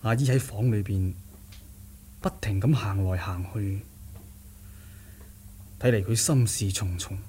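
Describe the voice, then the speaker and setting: A man's voice: on the low side, unhurried, pauses often, dark tone, slight background noise, natural voice. A male actor playing a determined, sardonic young martial-arts nephew in a radio drama, theatrical, scoffing and insistent. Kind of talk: Cantonese radio drama acting.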